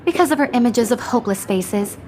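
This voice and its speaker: A woman acting as a smug, know-it-all schoolgirl in a video game. Her voice is fairly high, affected and precise, with faint noise in the background. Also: animated, quick pace, darkish tone, lightly acted. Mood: self-assured.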